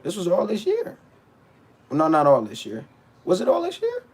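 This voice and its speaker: A man's voice: high voice, normal speed, breathy edge. Blunt, stammering man ranting on a stream. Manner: blunt and animated, with stammered restarts; talks back to his chat. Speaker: battle rapper streaming to his chat. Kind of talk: casual livestream rant.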